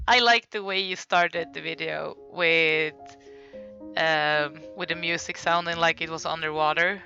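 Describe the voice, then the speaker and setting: Bright-voiced Swedish woman, slow and giggly. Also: low voice, steady tone, creaky, highs cut off, soft background music. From Sweden. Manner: giggly, apologetic, stumbles over names. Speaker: streamer. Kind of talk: casual live-stream commentary.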